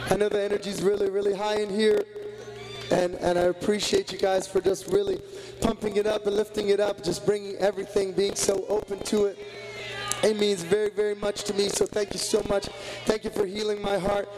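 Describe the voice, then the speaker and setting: A man speaking earnestly and tenderly from a stage as a musician, in a slightly rough voice with repetitive, heartfelt phrasing. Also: high-pitched, level tone, fairly quick, low-quality recording. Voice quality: a little rough. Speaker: singer-musician addressing audience between songs. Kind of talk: heartfelt stage talk before a song. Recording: live stage mic over loud guitar music. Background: plucked guitar playing.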